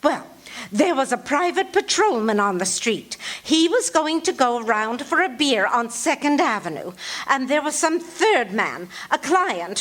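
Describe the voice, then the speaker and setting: A woman's voice: high-pitched, fairly bright tone, full character voice. An actress playing a fretful, imperious bedridden wife in a live radio-theatre show, indignant and fussy, stumbling over her words. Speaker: lead actor in a radio play. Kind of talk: live radio-theatre acting before an audience.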